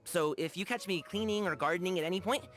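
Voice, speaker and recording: A woman's voice: fairly low pitch, fast talker, soft background music, natural voice. A woman voicing an upbeat, friendly young man in a video game, talking in a casual, slangy, enthusiastic way. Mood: earnest, friendly. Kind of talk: video game character dialogue.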